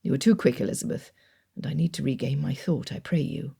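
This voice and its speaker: A woman voicing a reserved young gentleman suitor who speaks low, hushed and earnest. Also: brisk, creaky, breathy edge, heavily acted.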